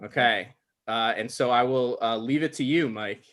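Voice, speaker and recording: A man casually introducing a live online table read as its host, halting and sincere as he goes. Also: medium pitch, fairly bright tone. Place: video call.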